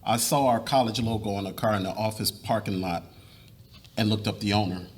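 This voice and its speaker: A man's voice: lowish voice, fairly quick, natural voice. A man playing an earnest middle-aged husband and father in a live dramatic reading, with an explanatory, confessional way of talking. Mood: confessing, earnest. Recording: live reading with room noise.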